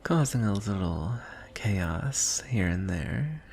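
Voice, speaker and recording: A man voicing a lonely, courtly dragon in a fantasy ASMR roleplay, speaking softly and breathily in measured, formal phrases. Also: fairly low pitch, big pitch swings, slight background noise, heavily acted. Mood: wistful, longing. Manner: soft, hushed, breathy delivery with deliberate pauses. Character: lonely, courtly male dragon wooing a prince.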